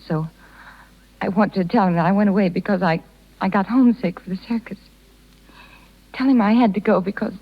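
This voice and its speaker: A woman's voice: medium pitch, natural voice. A woman playing a tender, self-sacrificing young circus rider with a hesitant, pleading delivery in a 1930s radio drama. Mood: resigned, brave. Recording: muffled 1930s radio broadcast.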